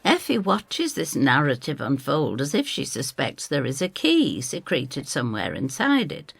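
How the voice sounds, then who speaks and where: A woman's voice: very deep voice, wildly swooping pitch, measured pace, vocal fry, breathy edge, dry recording, full character voice. A woman playing a motherly landlady who narrates her own story in a wry, homely, confiding manner. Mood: storytelling, intrigued. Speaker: first-person narrator. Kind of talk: dramatised scripted storytelling.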